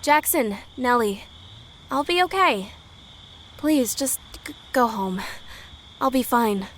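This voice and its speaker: Woman playing a high-voiced plucky teenage girl. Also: animated, takes it slowly, slight background noise. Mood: guarded, brave. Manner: folksy dialect grammar, plucky. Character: brave teenage high school girl, defiant but polite. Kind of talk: 1930s period audio drama acting. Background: crickets and night insects.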